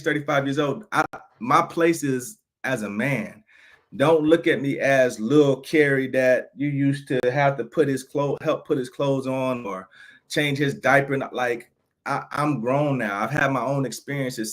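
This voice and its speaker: A man in his thirties giving an unscripted personal monologue, frank and aggrieved, often restarting and repeating phrases. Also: mid-range voice. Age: 30s. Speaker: vlogger.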